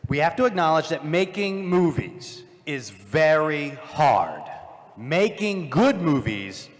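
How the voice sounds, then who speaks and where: A man speaks as a joking panelist in a big reverberant hall. His voice sits a little high and swings expressively through its range. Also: sing-song, slow. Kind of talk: casual, joking panel talk. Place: large convention panel room. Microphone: stage microphone and PA. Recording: live convention hall, long reverb.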